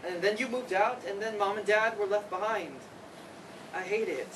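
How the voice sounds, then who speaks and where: A man's voice: high-pitched, steady tone, moderate pace, close to an everyday voice. A man playing a grieving, regretful adult son in a staged reading of a play. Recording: distant mic, noisy room.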